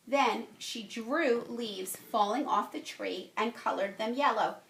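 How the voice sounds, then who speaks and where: A woman's voice: on the high side, slow, gravelly. A woman who works as a teacher, reading a children's picture book aloud expressively. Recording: roomy, echoey home-style recording.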